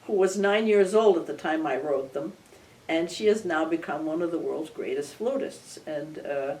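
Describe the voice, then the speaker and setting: An older woman, a composer whose first language was Armenian, talking thoughtfully in an interview with frequent restarts and soft laughs. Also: low-pitched, moderate pace. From Belmont area, United States. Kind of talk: casual reflective interview. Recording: recorded from some distance.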